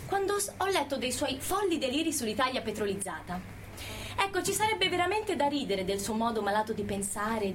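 A woman's voice: high voice, noisy background. A woman performing an indignant researcher-activist in a dramatic protest monologue, pointed and biting, full of rhetorical challenges. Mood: resolute.

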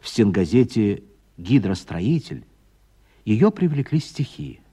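A man narrating a literary radio play with expressive, scripted storytelling. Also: on the low side, slow pace, husky.

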